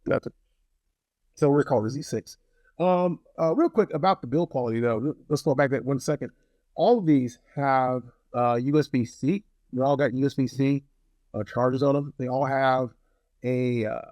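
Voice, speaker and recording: A man's voice: mid-range voice, dark tone. Middle-aged male reviewer, casual and wry, chatty delivery straight to the viewer. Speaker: gadget review host.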